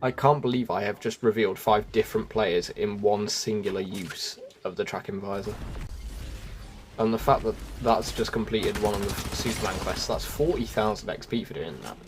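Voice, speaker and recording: A man's voice: fairly low pitch, moderate pace. Chatty male gamer over background noise. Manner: chatty, addresses viewers as folks. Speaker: gaming video host. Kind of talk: casual game walkthrough commentary.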